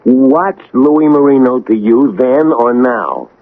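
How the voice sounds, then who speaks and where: An actor playing a nervous, suspicious small-time crook in a radio drama, grumbling, stammering and slangy. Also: male voice, mid-range voice, slow. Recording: tinny, phone-like old radio broadcast.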